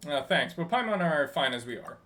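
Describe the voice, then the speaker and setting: A woman live streaming a video game, giving casual, playful reactions with excited exclamations. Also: low voice, brisk. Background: game music.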